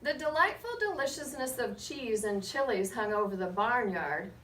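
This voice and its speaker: A woman reading a picture book aloud to children as a guest storyteller, with expressive, measured delivery and short asides to her listeners. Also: fairly high pitch. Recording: roomy, distant mic, faint background noise.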